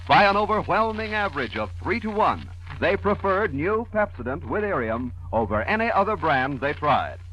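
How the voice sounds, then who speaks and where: An American man reading a 1940s radio advertisement as an emphatic sales pitch. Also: fairly bright tone, rough recording. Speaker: commercial announcer.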